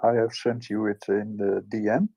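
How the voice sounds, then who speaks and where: Gravelly, dark-voiced man on muffled audio. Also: fairly low pitch, flat delivery, normal speed. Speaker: participant passing on news. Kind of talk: casual chat.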